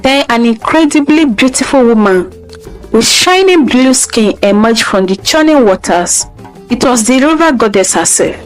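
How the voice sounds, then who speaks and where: A woman's voice: on the high side, lively intonation, normal speed, low-quality recording. Woman narrating a folktale over music. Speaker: storyteller, narrator.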